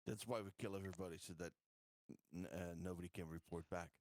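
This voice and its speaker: A man's voice: deep voice, fairly even intonation, fast talker, no room sound. A man joking casually as a player in a tabletop game.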